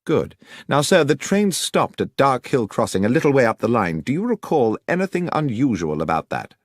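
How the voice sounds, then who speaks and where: A man voicing a brisk, courteous gentleman detective with a formal, precise and commanding manner, as in an audiobook. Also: medium pitch, sing-song, no room sound, clean studio sound, voice pushed up. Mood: courteous, probing.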